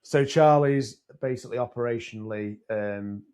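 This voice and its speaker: A man's voice: medium pitch, normal speed, a little mellow, smooth. A British man co-hosting a business podcast, talking plainly and swearing as he lays out scenarios at length. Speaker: podcast co-host. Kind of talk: casual business chat. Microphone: podcast mic.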